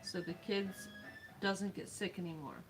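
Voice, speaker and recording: A woman's voice: on the low side, a little slow, poor audio. Snuffly woman chatting dryly. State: snuffly nose. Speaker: co-host reading chat. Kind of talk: casual chat. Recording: stream with faint background music. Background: faint background music.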